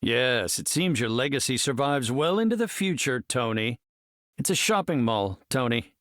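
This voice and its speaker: An actor playing a brilliant middle-aged scientist superhero in a video game, articulate, formal and earnest in his measured delivery. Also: medium pitch, expressive, fairly bright tone, no echo, clean studio sound, natural voice. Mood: calm, impressed. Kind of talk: scripted game character voice lines.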